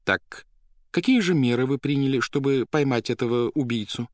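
A man voicing a polite, inquisitive psychiatric doctor in an audiobook, courteous and measured as he questions. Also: mid-range voice, no room sound, clean studio sound, voice pushed up, close to an everyday voice. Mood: attentive.

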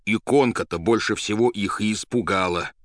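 A man's voice: lowish voice, normal speed, dry recording, clean studio sound, voice pushed up, lightly acted. A man voicing an agitated, half-crazed young poet in an audiobook, indignant and vehement, with colloquial speech. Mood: insistent.

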